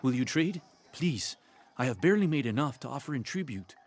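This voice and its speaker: A man's voice: medium pitch, expressive, fairly quick. A man playing an anxious, pleading market trader in a video game. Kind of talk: video game dialogue acting.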